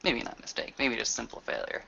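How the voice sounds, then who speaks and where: A man's voice: mid-range voice, huge pitch swings, a little fast, creaky, sharp tone. A man roleplaying as a player in a tabletop session, hesitant and thinking aloud as he goes. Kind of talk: improvised in-character roleplay. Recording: dull lo-fi recording.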